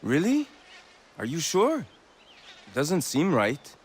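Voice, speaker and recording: A man's voice: on the high side, wildly swooping pitch, takes it slowly, husky, exaggerated voice. A man playing a hesitant, lovestruck frontiersman in a video game, with a French accent and a sincere, earnest manner. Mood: doubtful. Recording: faint insect and outdoor ambience behind.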